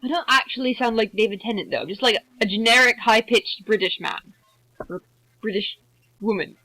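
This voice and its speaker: A woman with a slightly high voice. She chats informally with friends on an online call and tends to talk herself down. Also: animated, brisk, pushed and bright, low-quality recording. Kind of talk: casual chat with friends.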